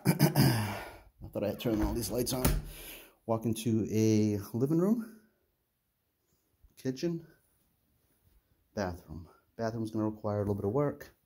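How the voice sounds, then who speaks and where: A middle-aged man giving a casual, halting walkthrough of empty condo rooms, filmed on a handheld phone as he walks. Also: on the low side, noisy background. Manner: relaxed, halting, many "uh" fillers. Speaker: property investor giving a walkthrough. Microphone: phone camera held while walking.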